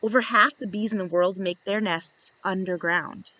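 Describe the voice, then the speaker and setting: A woman reading a children's picture book aloud, slow and lively, lifting into high voices for the questions. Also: dry recording, low-quality recording. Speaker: storybook reader.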